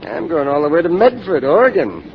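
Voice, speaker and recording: A man's voice: a little high, sing-song, fairly quick. An American man acting a folksy, helpful and chatty train passenger in a 1950s radio drama. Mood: friendly, curious. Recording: dull, noisy old radio broadcast.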